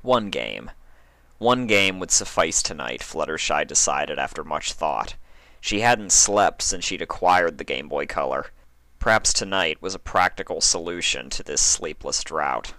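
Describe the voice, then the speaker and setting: A man's voice: rumbling low, lively intonation, a little slow, pushed and bright, no room sound. A man narrating a written story as a dramatic reading. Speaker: fan fiction reader.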